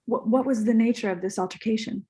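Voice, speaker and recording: A woman's voice: a little high, fast, dark tone, close to an everyday voice. A woman playing a businesslike, persistent homicide detective in a comedy table read. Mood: inquiring.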